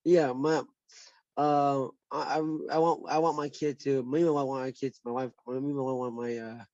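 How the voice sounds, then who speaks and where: A man's voice: medium pitch, level tone, moderate pace, a little mellow, dry recording, highs cut off. An American man talking casually as a podcast guest, upbeat and stumbling over restarts. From United States. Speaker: guest, former fighter. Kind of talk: casual interview chat.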